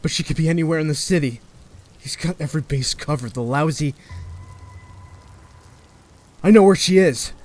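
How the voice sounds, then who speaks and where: A man's voice: a little high, quick pace, darkish tone, lightly acted. A man playing an earnest, guilt-ridden young superhero in a comic-book fan dub, dramatic, with music behind. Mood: desperate.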